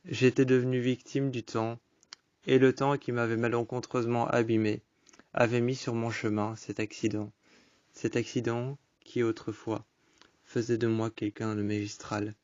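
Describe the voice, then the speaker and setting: A man's voice: mid-range voice, level tone, measured pace, darkish tone, dry recording, muffled recording. Male poetry storyteller, mid-range voice, solemn and incantatory delivery, very dry sound. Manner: repetitive incantatory phrasing, solemn and melancholic. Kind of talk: dramatic spoken-word poetry recitation.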